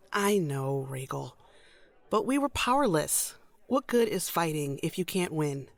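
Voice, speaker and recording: A guarded, weary woman in an audio drama. Her voice has a noticeable creak and swings widely in pitch, with a hesitant, cautious delivery. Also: big pitch swings, takes it slowly, no echo, full character voice. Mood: weary, resigned. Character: wary, weary former captive, cautiously yearning for freedom. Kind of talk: audio drama dialogue acting.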